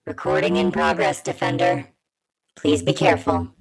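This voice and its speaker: A woman playing a cautious holographic computer entity in an audio drama, formal, measured and wary. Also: deep voice, very animated, gravelly, full character voice, rough recording.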